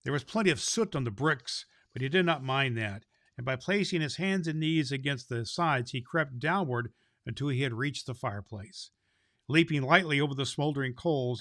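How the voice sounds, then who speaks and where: A man's voice: mid-range voice, a bit bright, no room sound, clean studio sound. A man narrating a children's storybook as a storyteller.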